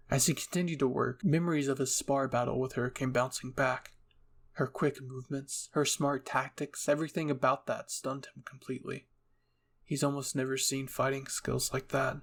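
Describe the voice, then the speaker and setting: A man's voice: mid-range voice, creaky. A man reading fan fiction aloud as a narrator, in a scripted, dramatic storytelling style.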